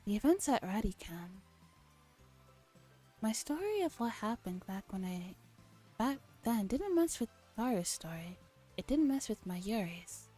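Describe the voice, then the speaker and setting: A woman streamer reading a visual novel aloud in a soft ASMR style, with casual asides. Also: mid-range voice, very animated, a little slow, long pauses, soft background music.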